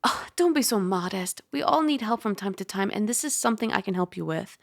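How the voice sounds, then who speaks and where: A woman playing a caring, teasing young dragon girl roommate in a soft-spoken ASMR roleplay, gentle and conversational on a close mic. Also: mid-range voice, lively intonation, brisk, gravelly, no room sound. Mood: reassuring, soothing. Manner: gentle, playful, conversational, with sighs and hushed asides. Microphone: close microphone.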